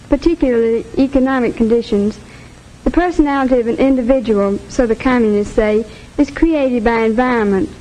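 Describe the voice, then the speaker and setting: A woman's voice: on the high side, dark tone, close to an everyday voice, poor audio. A woman giving a formal, precise scripted recitation as a student in a 1950s educational film. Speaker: student answering in class.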